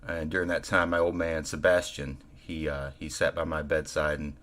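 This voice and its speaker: A man voicing a stoic young half-orc male cleric in a low, slightly gravelly voice, halting and flat with many pauses.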